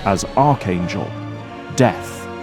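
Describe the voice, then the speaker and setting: A man's voice: sits low, lively intonation, slow pace, loud music behind the voice. A man narrating an audio drama, reading scripted scene descriptions in a dramatic storytelling style.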